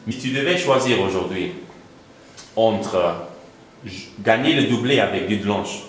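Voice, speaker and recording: A man's voice: on the low side, expressive, unhurried. A man asking questions as a sports journalist in an informal interview. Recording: echoey room, faint background noise.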